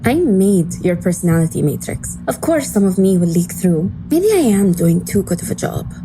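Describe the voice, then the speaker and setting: A woman's voice: on the low side, very animated, normal speed, noisy background. An actress playing a sarcastic, casual woman tech expert in an audio drama. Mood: breezy, sardonic. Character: sardonic, breezy woman, AI creator and tech expert.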